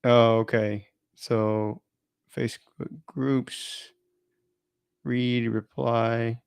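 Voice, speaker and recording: Creaky-voiced man rambling on a casual livestream. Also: medium pitch, flat delivery, extremely slow, darkish tone, dry recording, clean studio sound. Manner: rambling, informal, self-interrupting. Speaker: live streamer and podcaster. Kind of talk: casual unplanned live stream chat, thinking aloud.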